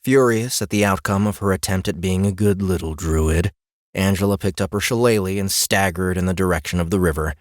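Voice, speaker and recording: Low-voiced male audiobook narrator, dry sound. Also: brisk, no echo. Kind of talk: scripted fantasy story narration.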